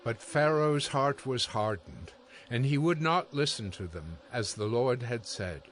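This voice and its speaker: A man's voice: mid-range voice, lively intonation, unhurried, a bit bright, slight background noise. A man narrating scripture from a script as part of a dramatized reading. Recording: music bed behind the voice. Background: music.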